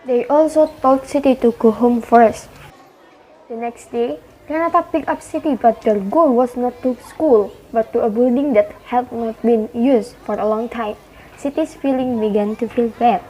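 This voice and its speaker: A woman's voice: a little high, normal speed, warm tone, slightly rough, low-quality recording. A woman reading scripted narration for a student radio drama.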